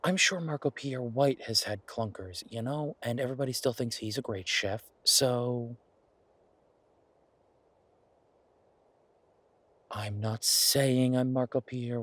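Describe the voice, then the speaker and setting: A man playing an affectionate, eager chef boyfriend in a romance audio roleplay, husky-voiced, teasing and earnest as he rambles to justify himself. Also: medium pitch, a bit bright, no echo. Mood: earnest, playful.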